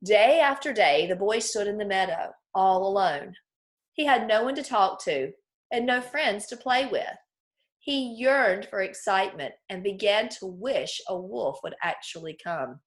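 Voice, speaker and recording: A woman teacher with a medium-pitched voice leads a read-aloud lesson for children. She explains each point clearly and often turns to her listeners with questions. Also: lively intonation, a little slow.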